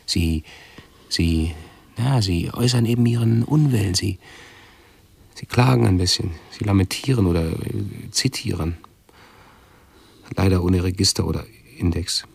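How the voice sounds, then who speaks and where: A pedantic, self-absorbed nephew in a radio drama. The voice is low, husky and full of fry. He speaks haltingly and anxiously, forever correcting himself. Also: no echo, exaggerated voice. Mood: hesitant, confiding.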